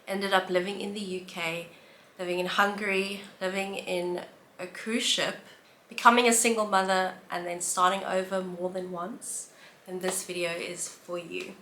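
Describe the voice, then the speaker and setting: South African woman, thirties, bright voice, casual reflective vlog chat, roomy sound. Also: fairly low pitch, level tone, slight background noise. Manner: chatty, reflective, with listing pauses. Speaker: vlogger. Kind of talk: casual sit-down chat to camera. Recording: roomy echo, faint background ambience.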